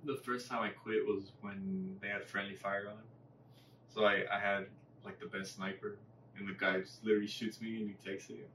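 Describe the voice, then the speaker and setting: A man's voice: on the low side, little pitch movement, normal speed, bright, forceful tone. A man chatting casually and bluntly with lots of swearing, as a podcast co-host. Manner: profane, blunt. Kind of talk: casual chat. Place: echoey room, away from the mic. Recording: distant mics, echoey room, faint noise.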